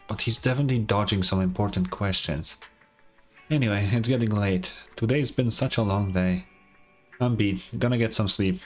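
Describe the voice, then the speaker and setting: Male game streamer, lowish voice, informal let's-play commentary, wry, reactive, faint background music.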